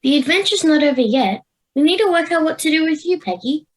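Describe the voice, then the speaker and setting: A woman's voice: sits high, animated, a little fast. An Australian girl of ten or eleven playing a decisive, bossy schoolgirl adventurer in an amateur radio play. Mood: relieved. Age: child, 10 to 11. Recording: home video-call recording.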